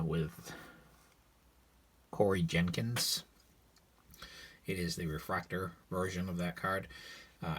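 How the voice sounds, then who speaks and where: A man with a raspy, hoarse voice from a cold, casually showing off his collection at home in a rambling vlog, filmed on his cell phone. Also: low-pitched. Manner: relaxed, rambling, with frequent fillers. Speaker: sports card collector vlogger. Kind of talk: casual show-and-tell vlog.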